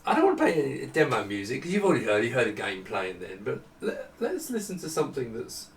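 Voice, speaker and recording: A man's voice: medium pitch, wildly swooping pitch, quick pace, slight background noise. A man giving a casual, off-the-cuff tech demonstration, thinking aloud in a rambling way. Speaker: product demonstrator. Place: indoor room with retro computers. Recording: roomy echo, distant mic, some music.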